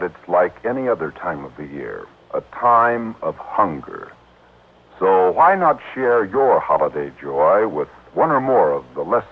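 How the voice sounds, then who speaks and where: An American man reading a scripted charity appeal as a 1950s radio announcer, measured and polished. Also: deep voice. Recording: narrow-band old radio recording.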